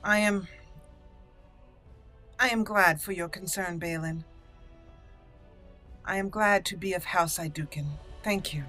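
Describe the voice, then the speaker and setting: A woman role-playing a proud, dutiful young noblewoman raised among dwarves, speaking formally and deliberately as game dialogue. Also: on the low side, bright, forceful tone, soft background music. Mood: guarded, earnest.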